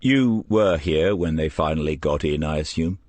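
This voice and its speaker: A man's voice: a bass voice, moderate pace, darkish tone, dry recording. A man voicing a terse, probing male detective in an audiobook. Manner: terse, questioning.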